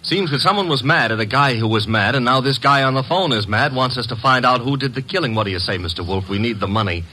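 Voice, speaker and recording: A man's voice: mid-range voice, fast talker, bright, forceful tone, slight background noise, natural voice, low-quality recording. An actor playing a wisecracking young male detective's assistant in 1940s radio drama style, with breezy joking patter. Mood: wry.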